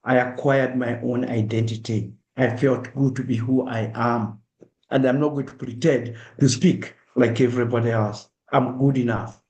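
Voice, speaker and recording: A man's voice: medium pitch, fairly even intonation, moderate pace, a little mellow. A middle-aged man with a Kenyan accent giving an earnest, direct public talk and acting out examples in the first person. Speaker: speaker at a diaspora awareness event. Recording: echoey room.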